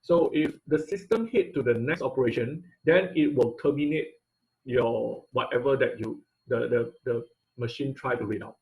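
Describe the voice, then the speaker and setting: A man's voice: medium pitch, moderate pace, a little mellow, poor audio. A man teaching an online robotics class, explaining code step by step with frequent fillers and "okay?" checks. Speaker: robotics instructor. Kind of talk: online class lecture with screen share.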